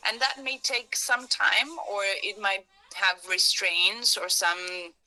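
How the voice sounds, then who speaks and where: Bright-voiced Argentine woman leading a workshop. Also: medium pitch, takes it slowly, low-quality recording. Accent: Argentine Spanish. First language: Spanish. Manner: searching for words, restarts phrases, hedges with "sort of". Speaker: workshop facilitator. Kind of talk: live workshop talk to hackathon participants.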